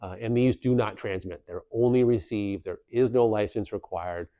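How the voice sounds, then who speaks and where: Male instructor, 40s, lowish voice, plain reassuring explainer delivery, slightly dull lapel-mic sound. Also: normal speed, dark tone, no echo. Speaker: instructor presenting a community video series. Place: small plain office room, indoors. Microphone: lavalier clipped at the collar.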